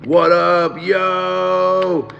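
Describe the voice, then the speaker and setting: A man with a hoarse, worn-out voice, chatting excitedly to viewers on a live stream. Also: high voice, extremely slow, long reverb tail, highs cut off, a little hiss or hum. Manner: excited, talks straight to viewers. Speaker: musician hosting a live stream. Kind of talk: casual live-stream chat.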